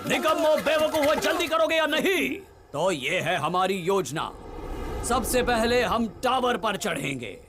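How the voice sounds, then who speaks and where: A man's voice: very high-pitched, very animated, measured pace, background noise, exaggerated voice. A man voicing a bossy, short-tempered, scheming villain captain in a cartoon dub, impatient and commanding. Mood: angry. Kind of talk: Hindi-dubbed cartoon villain acting.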